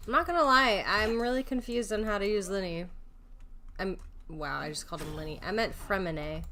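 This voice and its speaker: A woman's voice: medium pitch, fairly quick, bright, forceful tone, slight background noise. A woman doing casual live video game commentary, chatty and self-mocking as she thinks aloud.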